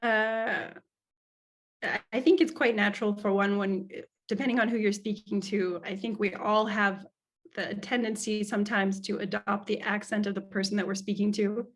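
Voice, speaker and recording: An American woman answering interview questions on a video call, speaking softly with many restarts. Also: mid-range voice, steady tone. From United States. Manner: soft-spoken, halting, with many restarts. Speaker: guest speaker.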